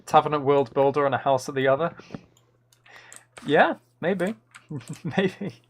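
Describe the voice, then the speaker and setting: A man's voice: on the high side, measured pace. A man chatting casually on a gameplay livestream, thinking aloud and rambling enthusiastically through ideas. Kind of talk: casual gameplay stream chat. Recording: clear background noise with keyboard clicking.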